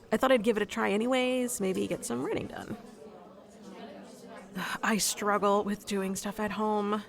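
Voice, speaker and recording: A woman's voice: medium pitch, a bit bright. A woman playing a shy, nerdy young writer in a conversational ASMR roleplay, rambling in a hesitant, giggly, self-conscious way.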